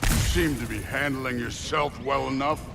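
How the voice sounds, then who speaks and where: An actor playing a brutish, boastful thunder god in a video game, with a gruff, heavy voice and a taunting manner. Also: male voice, medium pitch, normal speed, lightly acted. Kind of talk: dramatic video game acting. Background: loud music.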